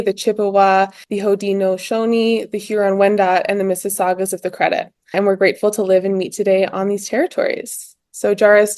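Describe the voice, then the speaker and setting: A woman's voice: mid-range voice, darkish tone, no room sound, studio quality. A young woman, hosting a prepared podcast interview as a student interviewer. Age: young adult.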